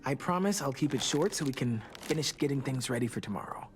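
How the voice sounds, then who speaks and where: A charming teenage boy played by a man talks in an American accent, hesitant and self-deprecating. The delivery is wry, natural video game acting. There is soft music behind the voice. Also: medium pitch, animated, quick pace, natural voice. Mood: nervous.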